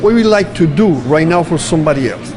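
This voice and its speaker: A man's voice: fairly high pitch, quick pace, over music. A man hosting a show, interviewing guests on location and often repeating his words. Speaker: show host. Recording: noisy crowd around.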